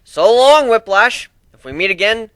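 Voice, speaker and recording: Brash griffin pirate captain, acted by a man: raised pitch, forceful, sarcastic, exuberant and taunting, dramatic story reading. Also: very animated, moderate pace, clear, resonant tone, no room sound.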